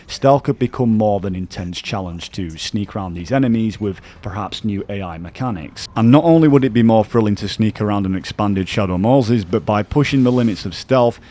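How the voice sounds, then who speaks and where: A man with a somewhat low-pitched voice presents a scripted video essay as a gaming YouTuber. He explains his points earnestly and in a measured way, in the style of online commentary narration. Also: dark tone.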